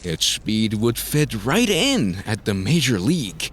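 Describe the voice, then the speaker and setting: A man's voice: lowish voice, very animated, slow pace, a bit bright, a little hiss or hum. A man narrating a scripted story in a dramatic style, as the narrator of an audio drama.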